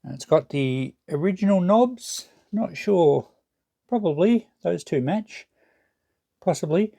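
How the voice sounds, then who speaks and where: A man's voice: high-pitched, animated, slow pace, creaky, mellow, dry recording, clean studio sound. An Australian man casually narrating as a vlog host, musing and thinking aloud as he goes. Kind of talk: casual narrated walkthrough of a shed clean-out.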